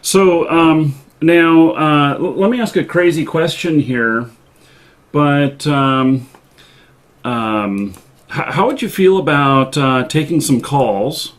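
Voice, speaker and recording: A man hosts a casual online interview, speaking slowly. He hesitates and hums through fillers before each question. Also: fairly high pitch. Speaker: show host.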